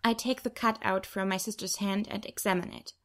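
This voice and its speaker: Audiobook narrator, woman, average pitch, expressive first-person storytelling from a script. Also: brisk. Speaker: audiobook reader.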